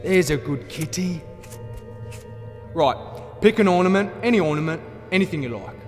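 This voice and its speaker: A man's voice: sits high, animated, moderate pace. An actor playing a powerful gnome king in a fantasy audio drama, gleefully menacing and mock-polite, toying with his listeners and swinging from chatty to grand. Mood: breezy showmanship. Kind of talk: full-cast fantasy audio drama acting. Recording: echoey reverberant hall, music underneath.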